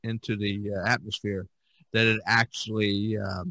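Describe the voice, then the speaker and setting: A man hosting a casual podcast chat, reminiscing in a halting, rambling way and often repeating his words. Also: on the low side, flat delivery, sharp tone, no echo. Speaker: podcast host.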